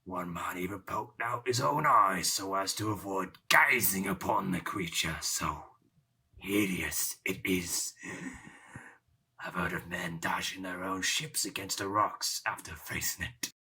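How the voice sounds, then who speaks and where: Slow, deep old pirate captain. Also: male voice, bright, forceful tone, slightly rough, full character voice. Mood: ominous. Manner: theatrical, deliberate, archaic seafaring speech. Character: aging boastful pirate captain, grave and rambling storyteller. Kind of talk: audio drama storytelling.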